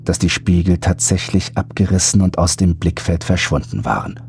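A man's voice: low-pitched, lively intonation, moderate pace, gravelly, a little mellow, slight background noise. A man reading a scripted first-person horror story as an audiobook narrator. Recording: background music under voice.

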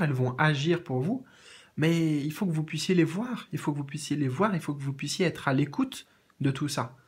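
A man with a cold and a sore throat giving a tarot reading in a gentle, repetitive way with many fillers. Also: on the high side, breathy edge. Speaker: tarot reader. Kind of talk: tarot reading, reading out card meanings. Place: indoors at a card table.

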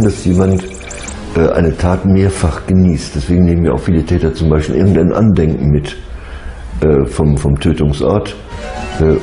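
A man's voice: very deep voice, mellow. A man giving an unscripted expert explanation in an interview, with hesitant phrasing. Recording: roomy echo, music underneath.

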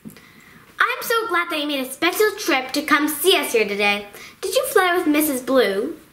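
A woman's voice: high-pitched, animated, normal speed, a bit bright. A woman voicing a perky, girlish puppet who hosts a children's talk show in a sweet, eager manner. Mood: delighted. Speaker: host. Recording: roomy echo.

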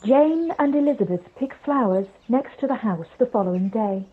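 Muffled, husky woman narrator. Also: on the high side, lively intonation, moderate pace. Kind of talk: scripted narration of stage directions. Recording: muffled video call, music underneath.

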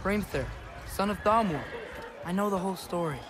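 A man's voice: sits high, moderate pace, close to an everyday voice. A young man playing an eager, defiant teenage boy in a video game. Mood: defensive, curious. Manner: earnest, defiant. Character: eager, defensive teenage boy, part giant. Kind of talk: video game character acting.

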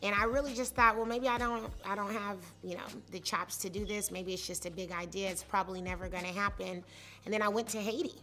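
Founder, woman, 30s: high-pitched voice, candid chatty storytelling with fillers. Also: fairly even intonation, fairly quick, fairly bright tone. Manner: candid, conversational, with many fillers. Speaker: startup founder. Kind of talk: personal story told in interview. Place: studio set with leafy wall.

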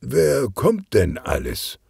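A man voicing a cheerful, curious older police detective in a children's crime story, amiable and expressive. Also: medium pitch, slow, creaky, husky, dry recording, studio quality, voice pushed up, exaggerated voice.

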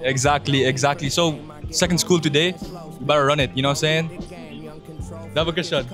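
A man's voice: fairly high pitch, steady tone, fairly quick, music in the background. Laid-back slangy young man vlogging. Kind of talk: casual vlog chat.

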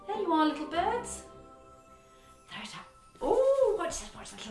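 A woman playing an excitable, kindly young girl from a fairy tale, with gasping, exaggerated delivery in interactive children's theatre. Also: very high-pitched, unhurried, heavily acted. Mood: excited, gentle. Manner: breathy gasps, exaggerated expressive delivery. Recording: echoey room with background music.

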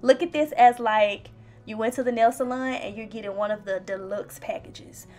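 A woman's voice: fairly high pitch, normal speed, a little mellow. A young woman narrating a beauty vlog, chatty and playful, talking straight to her viewers. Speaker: beauty vlogger. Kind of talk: casual voice-over narration. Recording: faint background music.

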